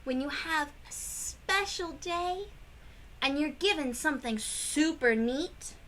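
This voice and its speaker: A woman reading a picture book aloud to young children, with expressive emphasis and questions to her listeners. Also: sits high, slow. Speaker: children's read-aloud host.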